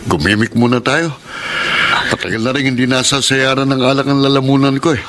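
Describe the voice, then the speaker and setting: A man's voice: medium pitch, steady tone, fairly quick, natural voice. An actor playing a breezy, carousing man in a Filipino radio drama, talking in a casual, cocky way. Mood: breezy, coaxing. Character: breezy carousing brother-in-law, protective of his sister. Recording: noisy radio drama track.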